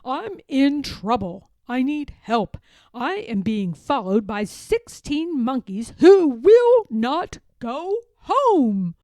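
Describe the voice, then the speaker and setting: A woman's voice: high voice, sing-song, slow pace, mellow, no echo, clean studio sound, heavily acted. A woman voicing an exasperated, fretful male peddler from a storybook, with an emphatic, wailing delivery. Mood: wailing, upset. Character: exasperated, fretful male cap peddler who loudly complains. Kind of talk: storybook character voice.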